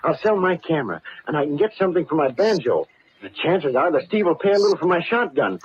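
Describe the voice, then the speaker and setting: An actor playing a naive, hopeful young small-town songwriter in a vintage radio drama, earnest and hesitant. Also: male voice, a little high, big pitch swings, fast talker. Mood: eager, reassuring. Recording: dull, lo-fi old radio recording.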